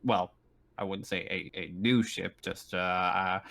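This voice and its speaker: A man's voice: low-pitched, steady tone, measured pace, gravelly, a bit bright. A man running a tabletop game as game master, improvising his narration and often searching for his words.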